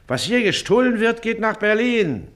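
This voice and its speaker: An actor playing a pompous, irritable Prussian district magistrate in a German radio comedy, blustering and condescending. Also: male voice, high voice, sing-song, moderate pace, a bit bright. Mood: officious, condescending. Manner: self-important, blustering, condescending. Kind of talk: German stage-comedy radio drama acting.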